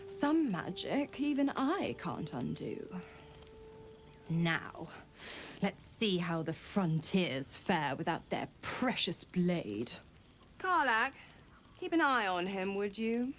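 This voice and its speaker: An actress playing a smug devil patron in a video game, mocking and theatrical in her condescension. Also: mid-range voice. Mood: cold, mocking. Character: taunting devil woman, a smug infernal patron. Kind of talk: dramatic video game voice acting.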